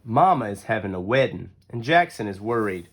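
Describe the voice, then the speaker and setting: A man reading a children's picture book aloud as an expressive, deliberate storyteller. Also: lowish voice. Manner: deliberate storytelling with acted-out dialogue. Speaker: story reader.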